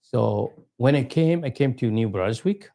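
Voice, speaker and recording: Male guest, halting casual storyteller, pauses often, frequent short agreements. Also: medium pitch, animated, gravelly, dark tone. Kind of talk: casual chat.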